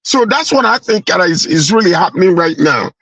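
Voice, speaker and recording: A man's voice: sits high, animated, fairly quick, fairly bright tone, husky, no room sound. A Nigerian-accented man speaking as a panelist in a political discussion, emphatic and repeating his phrases.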